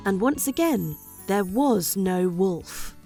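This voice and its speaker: Expressive woman storyteller with lively intonation. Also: medium pitch, slow pace, faint music behind. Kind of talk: scripted children's bedtime story narration.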